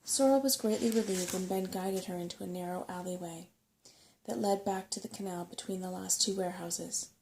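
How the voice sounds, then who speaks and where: A soft-spoken woman narrating a bedtime story in an ASMR style. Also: fairly low pitch, steady tone, brisk, a little hiss or hum, low-quality recording.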